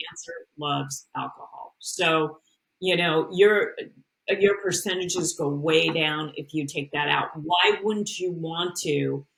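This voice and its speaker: A woman with a fairly low voice talks as a health coach on an interview show. Her advice comes out earnest and emphatic, and she restarts her sentences often, the way people do in relaxed conversation. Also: poor audio. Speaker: health and nutrition coach, guest. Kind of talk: casual interview talk.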